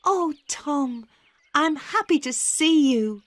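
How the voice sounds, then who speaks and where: A woman's voice: sits high, expressive, slow, no echo, pitched above the performer's own voice. Woman voicing a pleading little girl. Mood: excited. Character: eager tearful nine-year-old girl. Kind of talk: audiobook character voice.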